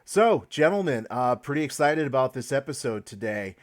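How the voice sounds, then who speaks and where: A man's voice: medium pitch, lively intonation, moderate pace. Man hosting a casual podcast. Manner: leads the talk, hedges and restarts. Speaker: podcast host.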